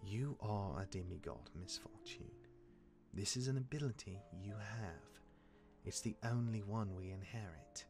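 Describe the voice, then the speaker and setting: An actor playing a cocky, jaded demigod of misfortune mentoring his younger brother in a fantasy drama, smooth-talking and wry, with dramatic turns. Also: on the low side, unhurried, over music. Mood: instructive. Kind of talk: character acting in fantasy audio drama.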